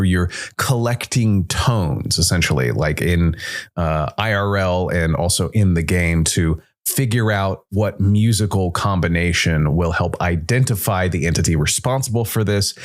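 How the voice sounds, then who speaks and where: A man hosting a tabletop gaming show, explaining how a game works in a measured, informal review style. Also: deep voice. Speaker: solo RPG show host.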